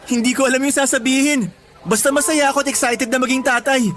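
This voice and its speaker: A man's voice: very high voice, fairly even intonation, quick pace, fairly bright tone. An actor playing a playful, sweet-talking boyfriend who is devoted to his partner, in a radio drama. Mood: overjoyed. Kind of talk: Tagalog radio drama acting. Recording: music bed under dialogue.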